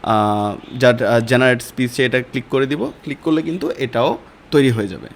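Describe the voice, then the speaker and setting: A man with a Bangladeshi accent teaching a step-by-step software lesson in informal, colloquial speech. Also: mid-range voice, moderate pace, slight background noise. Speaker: online course instructor.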